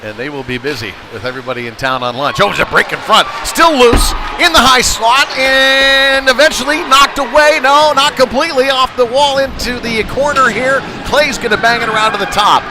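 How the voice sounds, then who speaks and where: A man doing conversational, folksy live play-by-play commentary of a youth hockey game, getting excitable when play heats up. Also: high-pitched, noisy background. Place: indoor ice rink. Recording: live rink stream with music behind. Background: music over rink PA.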